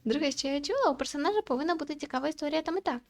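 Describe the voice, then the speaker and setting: A woman's voice: high voice, animated, rapid-fire. A woman voicing a cheerful, naive anime girl from a visual novel, explaining things in an earnest, theatrical manner.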